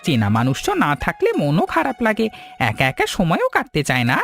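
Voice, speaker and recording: A woman voicing a friendly, inquisitive older male tea stall keeper in an animated storybook cartoon, chatty and sympathetic. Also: sits low, fairly quick, creaky, heavily acted. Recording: music bed under the voice.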